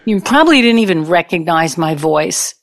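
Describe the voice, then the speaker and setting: A woman's voice: on the low side, lively intonation, normal speed. Woman acting a blunt, bitter ex-girlfriend. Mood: wistful, hurt. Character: bitter, incredulous middle-aged ex-girlfriend, a pianist. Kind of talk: audio play acting.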